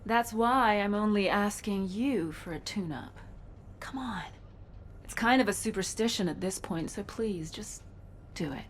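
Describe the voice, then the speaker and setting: An actress playing a determined, stubborn cyborg gunfighter in a video game, speaking tersely and earnestly. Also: female voice, medium pitch, measured pace, a little hiss or hum, close to an everyday voice. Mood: quiet pleading. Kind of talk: game cutscene dialogue.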